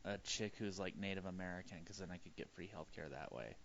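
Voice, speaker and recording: A man's voice: low-pitched, quick pace, a bit bright. A man on a casual comedy chat, tossing out dry wisecracks. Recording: dull lo-fi audio with faint noise.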